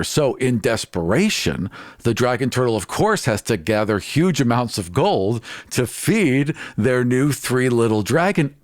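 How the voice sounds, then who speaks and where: A man co-hosting a podcast, chatting casually about tabletop gaming and musing out loud. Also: on the low side, dry recording, studio quality.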